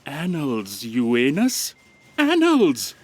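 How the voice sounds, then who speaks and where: A slow-talking, pedantic female copy aide, played by a woman in a sci-fi comedy audio drama. The delivery is measured and dry-witted, with pitch that moves around a lot. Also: wildly swooping pitch, slight background noise, exaggerated voice. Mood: exasperated. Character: Copy Aide, pedantic deadpan editorial assistant to Destiny's editor.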